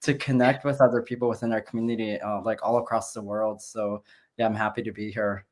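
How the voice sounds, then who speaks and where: A man's voice: on the low side, flat delivery, fairly quick, a little mellow. A man in his thirties, a guest in a casual video-call interview, talking in a friendly, rambling way with many uhs. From Canada. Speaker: guest, nurse and business owner.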